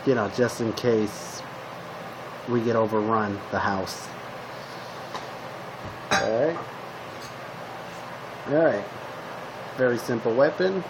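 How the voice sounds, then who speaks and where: A man improvising a casual roleplay monologue as a calm zombie apocalypse survivor, talking to the listener in short, hesitant fragments. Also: medium pitch, slow pace, pauses often, background noise. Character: calm male zombie apocalypse survivor giving weapon tips. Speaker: roleplay video creator playing a zombie survivor.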